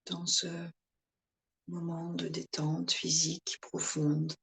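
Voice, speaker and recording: A woman yoga instructor guiding a relaxation meditation in calm, soothing short phrases with pauses. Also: low-pitched, vocal fry.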